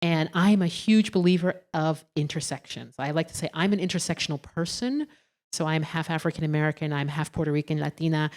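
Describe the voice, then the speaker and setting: Low-voiced woman speaking on a panel. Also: fairly quick. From Germany. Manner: animated, acts out quoted voices. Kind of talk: panel discussion talk. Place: hotel ballroom stage. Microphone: handheld mic.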